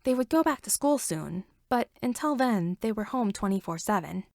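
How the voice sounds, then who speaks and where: A woman's voice: medium pitch, lively intonation, normal speed, gravelly, a little mellow, dry recording, clean studio sound. A woman reading a story aloud as scripted narration. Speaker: fan fiction reader.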